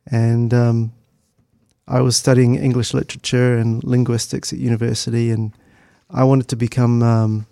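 A man's voice: medium pitch, little pitch movement, vocal fry, dark tone, no echo, studio quality. A middle-aged man with a New Zealand accent, reminiscing conversationally and hesitantly as an interview guest. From Christchurch, New Zealand. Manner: hesitant, halting, thoughtful delivery.

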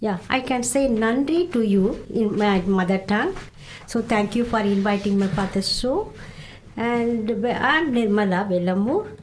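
An older woman with a South Indian accent, a native Tamil speaker, speaking as a live radio guest in an earnest, halting, grateful way. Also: mid-range voice, lively intonation, slight background noise, poor audio. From Tamil Nadu, India. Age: 60s or older. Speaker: radio guest, community council member. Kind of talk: live radio self-introduction. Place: radio studio.

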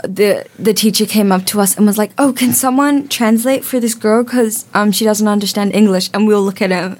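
A teenage girl co-hosting a youth radio chat, telling her stories in a lively way and laughing easily. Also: medium pitch. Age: teens. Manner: quick to laugh, animated storyteller. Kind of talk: casual chat.

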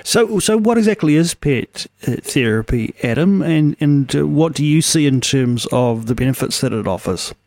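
A man's voice: on the high side, lively intonation, moderate pace, few pauses, a little mellow, no echo. A man hosting a radio interview, asking friendly questions with small restarts. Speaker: radio host.

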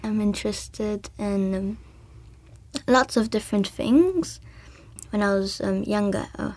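A woman's voice: mid-range voice, slow, darkish tone. 11 yr old girl from belgium teaching a kids baking class, lots of ums, chatty and a bit unsure. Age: 11 years old. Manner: hesitant, many ums, chatty. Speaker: young baking tutor. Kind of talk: casual workshop instruction. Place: community hub with children.

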